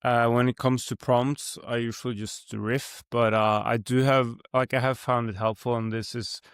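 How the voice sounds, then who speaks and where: A man's voice: mid-range voice, level tone, moderate pace, clear, resonant tone, no room sound, clean studio sound. A young man from Norway with a Norwegian accent, hosting a podcast and musing in a rambling, reflective way with many fillers. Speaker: podcast host. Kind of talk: casual podcast conversation.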